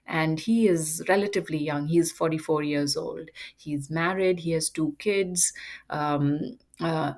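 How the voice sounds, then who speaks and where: Woman, low voice, educator-style monologue, halting with ums. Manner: measured, frequent ums and uhs. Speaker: educator presenting a topic. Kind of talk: unscripted lecture monologue.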